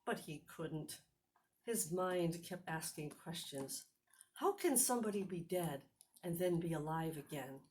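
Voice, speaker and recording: A woman hosting a children's Bible storytime, reading aloud in a measured, expressive storytelling manner. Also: on the low side. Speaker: host and story reader. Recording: clearly noisy recording with clicks.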